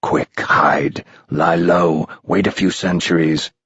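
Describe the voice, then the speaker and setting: A mischievous old male spirit swoops through a storybook night, put on by a man reading aloud. His voice is low and husky, his old-fashioned speech slow and gleeful. The recording sounds slightly muffled and dry. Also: fairly even intonation, fairly bright tone, no room sound, heavily acted. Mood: gleeful, spooky.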